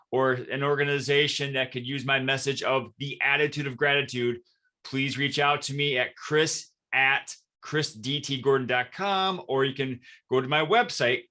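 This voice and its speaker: A man's voice: mid-range voice, pushed and bright. A man with an American accent hosting a podcast interview in an enthusiastic, upbeat conversational style. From Michigan, United States. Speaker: podcast host.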